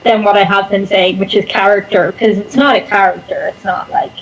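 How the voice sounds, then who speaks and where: A woman's voice: mid-range voice, fairly even intonation, fairly quick, low-quality recording. A woman chatting casually and unscripted as an interview guest, rambling along with self-deprecating humour. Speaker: interview guest, performer and online content creator.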